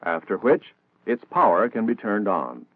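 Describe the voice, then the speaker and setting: A man's voice: lowish voice, sing-song, normal speed, no room sound. American man, narrow-band 1960s film narration. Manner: formal, precise, instructional. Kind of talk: scripted 1960s military training film narration.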